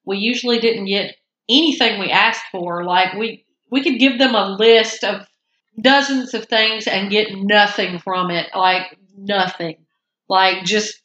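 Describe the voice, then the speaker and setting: A woman's voice: medium pitch, normal speed, sharp tone. Congested woman chatting in an echoey room. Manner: rambling, self-interrupting, laughs often. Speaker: podcast co-host. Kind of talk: casual chat.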